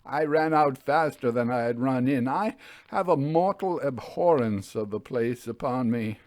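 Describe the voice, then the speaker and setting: Haunted railway signalman, male reader in role: grave, unhurried, halting delivery for a classic ghost story, dry sound. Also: medium pitch, darkish tone, dry recording, close to an everyday voice. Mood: agitated, dreading. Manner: slow, halting, grave recounting. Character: haunted, solemn railway signalman telling of a spectre.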